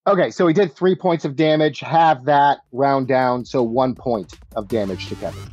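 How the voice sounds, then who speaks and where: A man's voice: medium pitch, moderate pace, darkish tone, breathy edge. A man acting as game master, narrating tabletop combat turn by turn.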